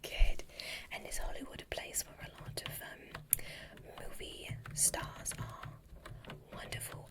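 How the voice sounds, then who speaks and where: A woman playing a gentle, curious alien doctor in a whispered ASMR roleplay, breathy and soothing, softly giving instructions. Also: lightly acted. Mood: curious, delighted. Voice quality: breathy, mostly unvoiced whisper. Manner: soothing, softly instructive, repeats short praise. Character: gentle curious alien medical examiner, female. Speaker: ASMR performer.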